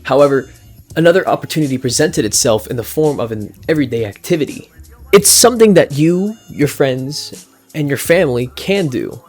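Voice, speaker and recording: A man's voice: fairly high pitch, expressive, a little fast, a little mellow, faint music behind. A young man from the United States, giving a scripted class speech in a casual, wry way with slangy asides. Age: young adult. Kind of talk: recorded speech, scripted but jokey.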